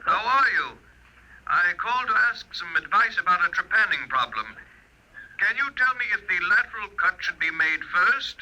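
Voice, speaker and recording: A man's voice: high voice, lively intonation, moderate pace, pushed and bright, exaggerated voice. An actor playing an eerie, genially oblivious man chatting over a telephone line in a 1950s radio drama. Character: eerie caller chatting on, oblivious, about surgery. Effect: telephone filter. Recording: tinny telephone-filtered old radio recording.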